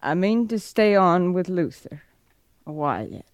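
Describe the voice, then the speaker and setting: An actress playing a grieving, bitter and stubborn young frontier widow in a radio western, with a drained, dramatic delivery. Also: female voice, on the low side, lively intonation, measured pace, smooth, no echo. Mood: bitter, resolute. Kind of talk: 1950s radio western acting.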